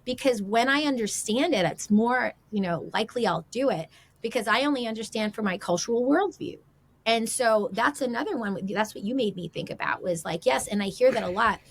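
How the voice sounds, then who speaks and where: A woman's voice: a little high, expressive, brisk. A woman giving conversational relationship advice as a podcast guest, explaining through example questions and quotes.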